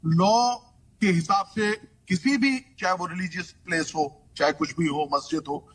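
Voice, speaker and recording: Man, very high pitch, emphatic, point-by-point legal explanation, English terms mixed in. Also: measured pace, fairly bright tone, slightly rough. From Delhi, India. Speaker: legal expert guest. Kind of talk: explanatory legal commentary in an interview.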